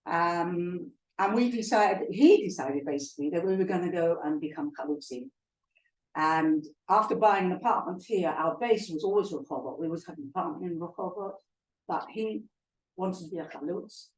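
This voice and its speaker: An older woman from London with a softened London accent, giving a chatty talk to an audience with many fillers and restarts. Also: fairly low pitch, expressive, normal speed. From England. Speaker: guest speaker. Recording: echoey hall, heard from far off.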